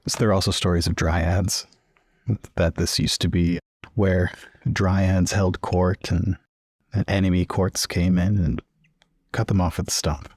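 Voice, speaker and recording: Really deep voiced guy playing a tabletop rpg, casual in-character chat, dry close recording. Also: little pitch movement, unhurried, darkish tone, breathy edge, no echo. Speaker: tabletop game player. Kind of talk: casual in-character roleplay and narration.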